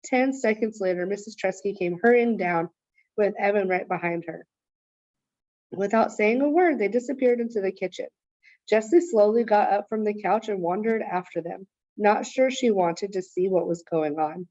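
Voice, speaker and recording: A woman's voice: mid-range voice, normal speed, a little mellow, dry recording. Woman reading a storybook aloud, dull dry sound. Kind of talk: read-aloud storybook narration.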